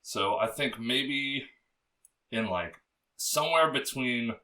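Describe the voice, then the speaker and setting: A young man with a low, bassy voice, chatting as a podcast guest in a loose, rambling way, full of fillers and swearing. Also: slow, bright, forceful tone, far from the mic. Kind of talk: casual interview chat.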